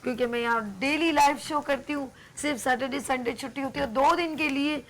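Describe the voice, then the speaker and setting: A high-pitched woman with a Pakistani Urdu accent hosts a live morning show. She talks in a playful, teasing, chatty way, and her throat sounds a little sore. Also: brisk. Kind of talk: casual live TV chat.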